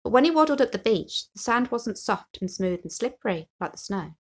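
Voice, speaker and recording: A woman reading a children's picture book aloud as an expressive storyteller. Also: on the high side, brisk, husky. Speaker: story reader.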